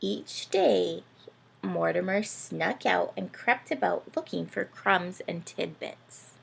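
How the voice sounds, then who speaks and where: Lady doing storytime for little kids, really croaky fry in her voice, takes it slow, playful and sing-songy. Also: on the low side. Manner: sing-song, animated, asks the listeners questions. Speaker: children's read-aloud host. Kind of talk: playful read-aloud and chat addressed to young children.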